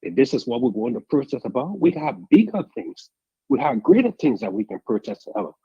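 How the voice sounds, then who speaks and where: A man calling in to a radio talk show, speaking in a deliberate, measured way that builds to emphatic points. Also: mid-range voice, wildly swooping pitch, creaky, husky, dry recording, muffled recording. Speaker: caller. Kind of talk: call-in radio commentary.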